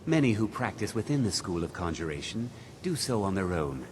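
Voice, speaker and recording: An actor playing a guarded, secretive conjurer in a fantasy game, speaking formally and cautiously. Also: male voice, big pitch swings, brisk. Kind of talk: fantasy video game voice acting. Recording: rumbling background noise.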